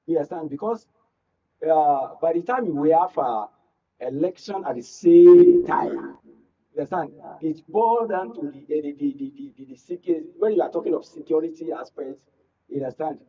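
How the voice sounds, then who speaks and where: A Nigerian man with a husky, mellow and slightly high voice talks as a panel guest. His delivery is halting and repetitive, with frequent checks that listeners follow. The recording is muffled and a bit distant. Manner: halting, repetitive, punctuates with "you understand". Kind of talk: political discussion on a radio and TV panel. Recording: muffled, distant-mic, lo-fi studio pickup.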